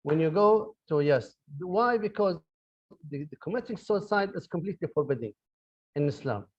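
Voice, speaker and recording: A man's voice: sits high, lively intonation, moderate pace, husky. A middle-aged man with an Arabic accent giving a university lecture, hesitant, with many fillers and repeated words. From Libya. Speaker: university professor. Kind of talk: academic lecture. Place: online lecture. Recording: dull lo-fi audio.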